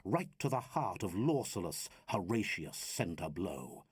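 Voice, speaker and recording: A man performs narrative verse with theatrical, declamatory weight. His husky voice moves at a very measured pace and swings widely in pitch. The sound is close and dry. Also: very animated, no room sound. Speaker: reader of narrative poetry. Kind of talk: dramatic recited narrative poem.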